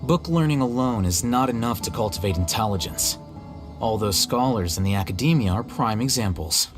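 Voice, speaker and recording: An actor playing an aloof, disdainful intellectual in an anime video game, cool in manner. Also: male voice, lowish voice, animated, moderate pace, music in the background, close to an everyday voice. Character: aloof, intellectual male scholar, disdainful of academics.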